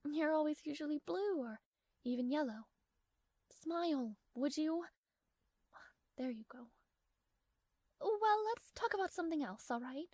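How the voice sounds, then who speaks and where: A woman's voice: high-pitched, expressive, a little slow, long pauses, a little mellow, dry recording, studio quality. A woman playing a proud, strong-willed young princess in a romantic fantasy audio roleplay, speaking formally with haughty, flustered hesitations. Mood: gently bossy.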